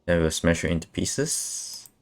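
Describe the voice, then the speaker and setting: A man's voice: deep voice, animated, a little slow, gravelly, a crowd in the background. A man doing casual live reactions as a game streamer, with offhand, sarcastic interjections. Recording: mic over game music.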